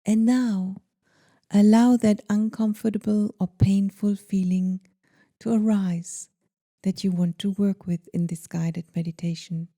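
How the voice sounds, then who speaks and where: A woman guiding a meditation in a gentle, soothing, deliberate manner, leaving pauses between phrases. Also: mid-range voice, fairly even intonation. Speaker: meditation guide. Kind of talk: guided meditation.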